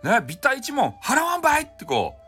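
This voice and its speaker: A man's voice: extremely high pitch, huge pitch swings, a little fast, pushed and bright, soft background music, pitched above the performer's own voice, full character voice. Man doing a stingy Hakata-accented town mayor. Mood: stern bargaining. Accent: Hakata Japanese. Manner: grudging, quibbling.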